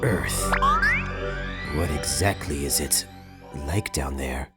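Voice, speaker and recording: A man plays a brooding hedgehog creature with an extremely low voice. He speaks earnestly and solemnly, holding back and halting, in cartoon comic-dub style. Music plays clearly behind him. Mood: quietly curious. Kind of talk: scripted comic dub voice acting.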